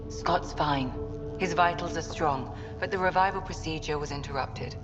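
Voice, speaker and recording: An actress playing a composed female doctor in a sci-fi game, measured, professional and reassuring. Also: low voice, music in the background, lightly acted. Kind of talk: sci-fi video game acting. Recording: muffled, reverberant, noisy ambience.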